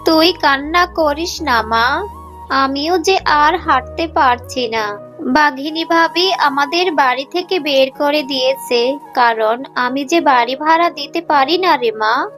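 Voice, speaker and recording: A poor, gentle mother fox, voiced by a woman speaks slowly in a high voice. She sounds weary and pleading, in a children's cartoon with music underneath. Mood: sad, comforting. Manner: pleading, sorrowful. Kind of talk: children's cartoon story dialogue. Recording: music behind the voice.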